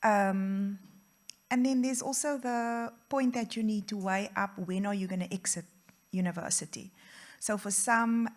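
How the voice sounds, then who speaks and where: A woman answering as a guest on a career panel, thoughtful and hesitant with many fillers. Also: mid-range voice. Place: event venue.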